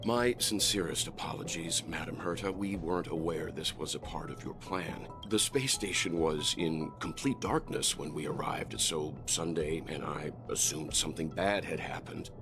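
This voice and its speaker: A courteous, mature male traveller in a space adventure game. His voice is very low, and he talks in a measured, earnest way. Music plays clearly behind him. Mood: apologetic. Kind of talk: scripted video game voice acting.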